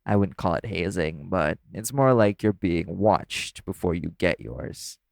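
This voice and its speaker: A man's voice: sits low, animated, a little slow, creaky, dry recording, studio quality, heavily acted. A man playing a flirtatious, easygoing nightclub coworker in a casual, teasing audio roleplay. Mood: casual, reassuring. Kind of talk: audio roleplay acting addressed to the listener.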